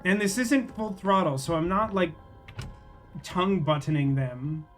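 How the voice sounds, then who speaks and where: A man's voice: sits high, expressive, measured pace, a bit bright, soft background music. A man doing casual livestream game commentary, thinking aloud in a wry, rambling way as he chats with viewers.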